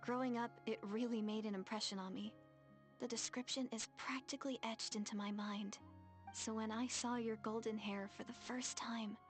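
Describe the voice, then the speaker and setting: A high, girlish young village woman acted by a woman speaks with devout earnestness. She is a game character with a hesitant manner, and music plays faintly underneath. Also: natural voice. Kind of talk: video game voice acting.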